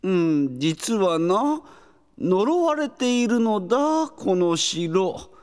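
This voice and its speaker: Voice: medium pitch, big pitch swings, extremely slow, a little mellow, clean tone. A performer playing a weary, pompous old castle ruler in a Japanese comedic audio drama, with old-fashioned, hesitant speech. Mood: resigned, explaining. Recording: long reverberant castle-hall effect.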